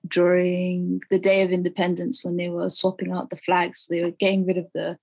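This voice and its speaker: A woman's voice: lowish voice, completely flat delivery, normal speed, creaky, a little mellow, low-quality recording. A woman, a guest in an interview, explaining things with a few fillers. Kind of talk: casual interview.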